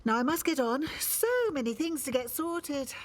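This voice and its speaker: An actress playing a brisk, bossy, chatty village organiser in a radio soap. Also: female voice, high-pitched, background noise, close to an everyday voice. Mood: brisk, persuasive.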